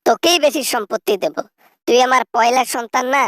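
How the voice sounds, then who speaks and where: A scheming elderly mother, acted by a woman in a political parody skit. Her voice sits fairly high and sounds very dry. She coaxes and boasts without shame. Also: a little fast, no room sound, close to an everyday voice. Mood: placating. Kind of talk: satirical phone-call parody skit.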